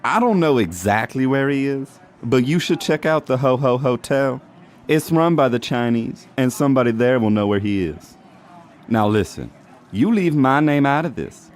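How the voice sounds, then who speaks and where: Shady reindeer pimp, acted by a man: animated pitch, defensive streetwise talk, noir comedy drama, some background noise. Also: moderate pace, natural voice. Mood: grudging, confiding. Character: shady, exasperated male reindeer bar owner and pimp. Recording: noisy bar ambience behind voice.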